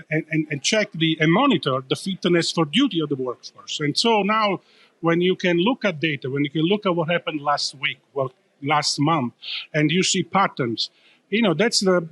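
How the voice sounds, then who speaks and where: A man's voice: on the high side, a bit bright. A man with an Italian accent, explaining his company as a founder being interviewed, in an emphatic, explanatory way. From Italy.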